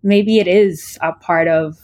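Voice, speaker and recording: A woman's voice: on the low side, fairly even intonation, measured pace, a little mellow. A young woman from Trinidad, an artist, answering interview questions casually with many fillers and restarts. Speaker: artist guest.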